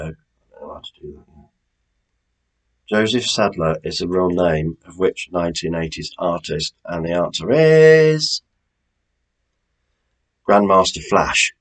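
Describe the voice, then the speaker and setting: A man with a British accent and a very deep voice hosts an informal quiz. He speaks very slowly, setting out each question in a chatty, unpolished style. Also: slightly rough, poor audio. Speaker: quiz host.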